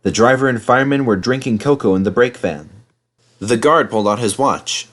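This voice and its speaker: Medium-pitched man reading a children's storybook. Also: animated, fairly quick, fairly bright tone. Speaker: storybook reader.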